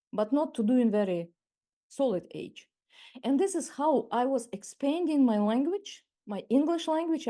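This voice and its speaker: A woman of around sixty from Ukraine, with a Ukrainian accent and Ukrainian as her first language. She gives a measured webinar masterclass over a video call and often restarts and repeats words. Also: on the high side, warm tone. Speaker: masterclass speaker. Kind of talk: webinar talk.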